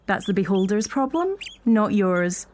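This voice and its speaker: A wry, supportive Scottish woman teacher in an audio drama. She sounds frank and dry-humoured, with a medium pitch, over noticeable background noise. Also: sing-song, unhurried, a little mellow. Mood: gentle, wry.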